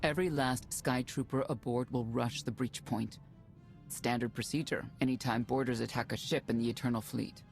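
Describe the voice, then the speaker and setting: A woman's voice: very deep voice, fairly even intonation, moderate pace, gravelly, a little mellow, soft background music. A middle-aged woman playing a stern, seasoned military officer in a game cutscene, terse, grave and dry. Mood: grave briefing. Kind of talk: video game cutscene acting.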